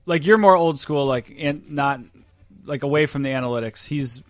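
A man's voice: fairly high pitch, moderate pace, no room sound, faint music behind. A man with a North American accent chatting casually about sports as a podcast co-host, thinking aloud and hedging his points in a conversational way.

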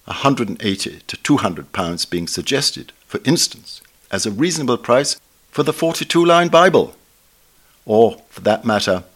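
An elderly man with a fairly low voice and an upper-class English accent reads a prepared review aloud. His delivery is formal and erudite, with deliberate emphasis on key phrases. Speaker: book reviewer. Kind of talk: scripted book review read aloud.

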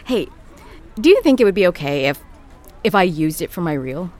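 An actress playing an eager young assistant with a gushing, informal, chatty way of talking. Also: female voice, on the low side, big pitch swings, moderate pace, darkish tone, faint music behind. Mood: hopeful. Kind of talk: audio drama acting.

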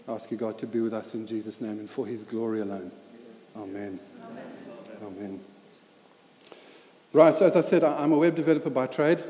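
A man in his thirties with a South African accent, preaching a sermon with a personal story in a halting, earnest way with many fillers. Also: mid-range voice, warm tone, a little hiss or hum. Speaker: lay preacher. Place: church hall. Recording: echoey hall with long reverb.

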